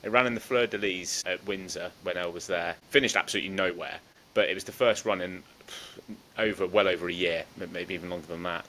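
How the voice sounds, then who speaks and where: A man co-hosting a podcast, chatting in a relaxed, rambling way about his racing picks, with lots of ums. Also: low voice, fairly quick, hardly any pauses, low-quality recording. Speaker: podcast co-host. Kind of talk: casual horse racing tipping chat.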